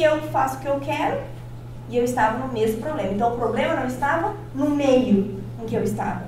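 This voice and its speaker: A Brazilian woman in her thirties with a Brazilian Portuguese accent, giving a personal-story motivational talk on stage, expressive and often checking in with the audience. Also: sits high, recorded from a distance, a little hiss or hum. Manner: expressive, addresses the audience with frequent tag questions. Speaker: motivational speaker. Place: large auditorium on stage. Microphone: handheld mic, filmed by far camera. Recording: echoey hall, from afar.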